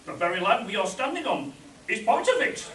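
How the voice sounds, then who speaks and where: Man playing a high-pitched, pompous Mayan king, echoey. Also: very animated, fairly quick, pushed and bright, heavily acted. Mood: solemn. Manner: grandly formal, declamatory. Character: pompous dignified Mayan king in a spoof adventure. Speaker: amateur actor in a live radio play. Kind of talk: spoof radio adventure acting. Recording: echoey live hall, distant mic.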